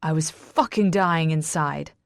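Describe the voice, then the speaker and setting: A woman's voice: on the low side, normal speed, no room sound, studio quality, lightly acted. A woman narrator voicing a bitter, wounded, hot-tempered man in an audiobook, with intense delivery. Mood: bitter rage.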